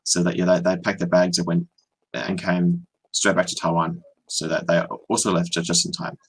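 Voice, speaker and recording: A young Australian man on a video call, tutoring in a relaxed, hesitant way with many ums and restarts. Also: low-pitched, normal speed, a little hiss or hum, low-quality recording. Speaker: online English tutor. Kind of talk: casual video-call conversation.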